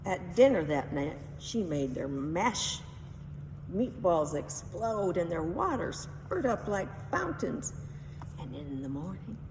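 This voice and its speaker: A woman narrates a children's story dramatically, with very lively pitch and an unrushed pace. The voice sounds distant in an echoey hall, over faint noise. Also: slow. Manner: dramatic, emphatic. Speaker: read-aloud storyteller.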